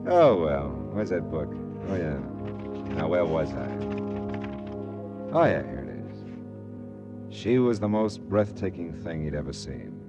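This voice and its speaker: A world-weary American private detective in an old radio drama. His voice sits low, and he talks in a knowing, wry, hard-boiled way. Faint music colors the vintage recording. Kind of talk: 1940s-50s American radio detective acting.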